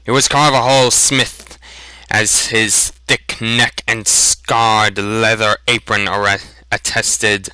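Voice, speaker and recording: Very bright, slow-reading male narrator. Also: on the low side, little pitch movement, clear, resonant tone, no room sound, rough recording. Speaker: storybook narrator. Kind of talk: dramatic amateur audiobook reading.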